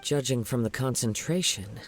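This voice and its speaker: A man voicing a calm, thoughtful young male wanderer in a video game, speaking in a measured, formal, reflective way. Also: mid-range voice, natural voice. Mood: grave, focused.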